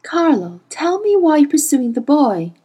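A woman voicing a manipulative, seductive woman who coaxes secrets in a soft, purring way. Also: high-pitched, very animated, measured pace, voice pushed up. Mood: coaxing.

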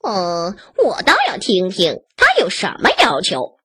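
A woman voicing a greedy, sneering male wolf in a children's story, speaking with a raspy, mocking voice. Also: lowish voice, wildly swooping pitch, measured pace, fairly bright tone, no room sound, studio quality, voice pushed down, full character voice. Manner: mocking, bossy.

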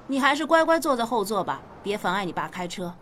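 An actress playing a gently correcting mother in a Chinese radio drama. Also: mid-range voice, sing-song, fast talker, a little hiss or hum. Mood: gently chiding. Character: mother, gently correcting her young daughter.

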